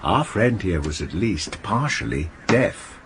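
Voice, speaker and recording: Lively, smug police pathologist. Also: male voice, deep voice, measured pace, background noise. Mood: smug, pointed. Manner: precise, needling, clever. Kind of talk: radio drama acting.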